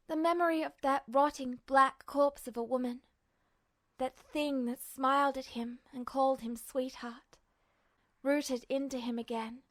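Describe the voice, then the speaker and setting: A woman reading fiction aloud as a dramatic, scripted audiobook narration. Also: sits high. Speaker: fan fiction podfic reader.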